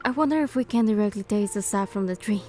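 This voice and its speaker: Gentle young game heroine, mellow voice, woman acting. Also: medium pitch, quick pace, faint music behind, natural voice. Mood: gentle, curious. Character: gentle, curious young woman narrating her date. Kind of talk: scripted first-person game narration and dialogue.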